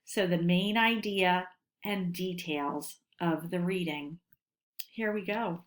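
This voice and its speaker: Slow-paced woman teacher reading aloud. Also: lowish voice. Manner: deliberate, asking questions and answering them. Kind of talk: instructional read-aloud for children.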